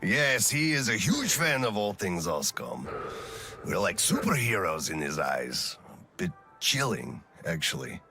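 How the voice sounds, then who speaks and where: An actor playing a weary, conscientious middle-aged colonel in a game drama, speaking in a reflective, measured and slightly hesitant way. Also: male voice, lowish voice, very animated, pushed and bright, faint music behind, exaggerated voice. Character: weary, conscientious male colonel and lone father. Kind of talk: dramatic video game cutscene acting.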